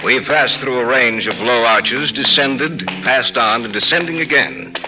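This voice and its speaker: An actor playing a vengeful nobleman who narrates his crime with deliberate, mock-solicitous menace in 1950s radio horror style. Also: mid-range voice, muffled recording, background noise, lightly acted. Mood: ominous. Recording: echoey old radio drama, music behind.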